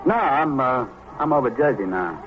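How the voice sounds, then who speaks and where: A man's voice: medium pitch, expressive, moderate pace, faint music behind, close to an everyday voice. Glib New small-time crook, played by a man, muffled recording. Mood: glib, chummy. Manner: streetwise slang, ingratiating protests. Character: glib small-time ex-con numbers racketeer, feigning honesty. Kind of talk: old-time radio drama acting. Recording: muffled, noisy vintage radio recording.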